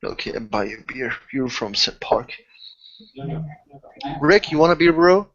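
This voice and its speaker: A man acting out a friendly, protective buddy in a tabletop game. He talks haltingly and familiarly, repeating himself, over dull lo-fi sound. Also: a little high, expressive, a bit bright. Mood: friendly, casual. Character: friendly, protective guy treating friends to beer.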